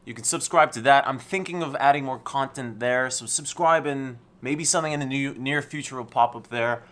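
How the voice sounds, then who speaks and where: A man's voice: mid-range voice, normal speed. Man talking casually to camera. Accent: American-schooled English. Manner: relaxed, conversational, with restarts. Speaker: channel host, entrepreneur. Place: his office.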